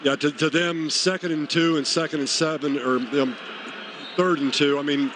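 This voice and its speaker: A man's voice: fairly high pitch, steady tone, moderate pace. An American man giving unscripted, conversational football analysis as a radio colour commentator. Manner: conversational, explanatory. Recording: live radio broadcast, faint noise.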